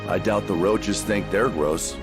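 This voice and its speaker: Gruff, cynical male game hero, low register, blunt and dry, loud music underneath. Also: unhurried. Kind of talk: video game cutscene acting.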